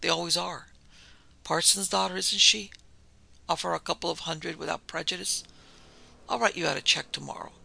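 A man's voice: fairly high pitch, quick pace, gravelly, bright, forceful tone, slightly rough, no echo, heavily acted. A man voicing a domineering older baronet father in a storybook, blustering, scornful and condescending. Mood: sneering.

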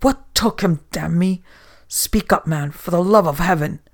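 A man voicing a fevered, bullying male sea captain who shouts and snaps at his men. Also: high-pitched, measured pace. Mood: frantic. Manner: shouting, snapping.

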